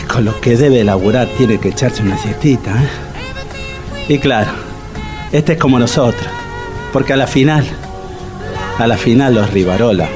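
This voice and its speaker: A man's voice: medium pitch, close to an everyday voice. An actor playing a groggy, self-pitying, good-natured working-class man with a Rioplatense Argentine accent in a comedic radio drama.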